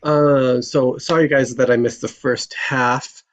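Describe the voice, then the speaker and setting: A man's voice: medium pitch, steady tone, slow. A man chatting casually and politely on an online group call, deferring to the others as a table read participant.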